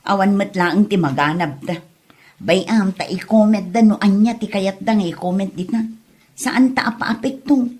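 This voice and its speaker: A woman's voice: mid-range voice, normal speed, darkish tone, breathy edge, natural voice. A woman playing an easygoing married vlogger in an Ilocano comedy audio drama, conversational and reassuring, with an Ilocano Filipino accent. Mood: unbothered.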